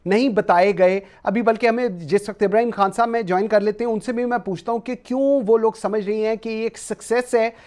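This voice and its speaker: A man's voice: high voice, darkish tone. A man with a Pakistani accent hosting a political talk show, putting long, probing questions and cutting in politely. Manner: wordy, probing, interjects politely.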